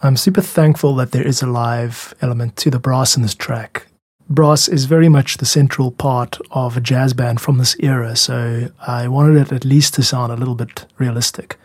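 A man hosting a podcast about making music, explaining things in a measured, candid, self-critical way. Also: medium pitch, steady tone, darkish tone, breathy edge, no echo, clean studio sound. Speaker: music podcast host and composer.

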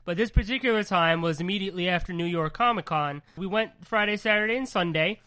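A woman's voice: lowish voice, fast, fairly bright tone. Chatty, rambling woman podcast host. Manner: rambling, swears freely, laughs often. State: congested from a cold. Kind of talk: casual podcast chat.

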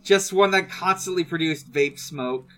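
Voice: mid-range voice, unhurried, a bit bright, soft background music. A podcast host chatting casually and reading out listener questions, hesitant and often trailing off mid-thought.

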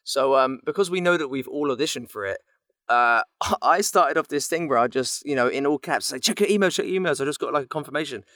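A man's voice: medium pitch, lively intonation, fast talker, fairly bright tone, no room sound. A man in his thirties chatting casually and telling anecdotes in a playful, easily amused way. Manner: playful, laughs easily while talking. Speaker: voice actor guest.

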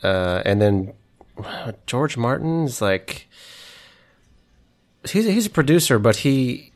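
A man co-hosting a casual podcast who speaks quietly and haltingly, with dry humor. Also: sing-song. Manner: low-key, hesitant, dry humor. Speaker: podcast co-host.

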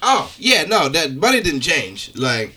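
A man's voice: on the high side, big pitch swings, a little slow, sharp tone, breathy edge. A male podcast co-host telling casual comic stories, rambling along and acting out conversations.

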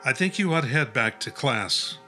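Middle-aged priest and headmaster, audio drama, forceful bright tone, weary, exasperated, admonishing. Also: male voice, mid-range voice, animated, normal speed, soft background music.

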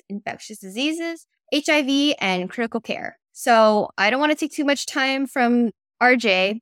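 A woman's voice: fairly high pitch, fairly bright tone, dry recording. The young woman you would hear hosting a professional association's podcast, chatting semi-casually with a colleague in a dry, close-sounding setup. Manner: peppers casual talk with "like". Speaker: podcast host.

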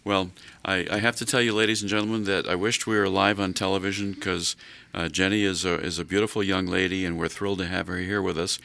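An older man hosting a radio talk show, chatting slowly in a folksy way with many fillers. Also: low voice, fairly even intonation, vocal fry, sharp tone, no room sound.

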